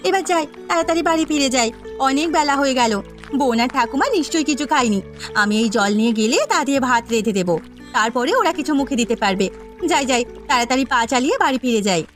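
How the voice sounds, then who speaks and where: A woman's voice: high voice, animated, quick pace, soft background music. A woman voicing a poor, earnest and deferential village girl, an elder sister in a cartoon story. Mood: hurried.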